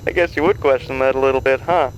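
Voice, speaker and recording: A man's voice: mid-range voice, fairly quick, dull audio, a little hiss or hum, natural voice. A man playing a suavely menacing game show boss in an audio drama, theatrical, condescending and mock-polite. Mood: amused evasion.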